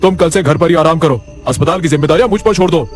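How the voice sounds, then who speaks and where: A man's voice: fairly high pitch, racing, a little mellow, faint music behind, pitched above the performer's own voice, close to an everyday voice. Man acting a firm, raised-voice doctor husband. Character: stern, dismissive doctor husband in horror cartoon.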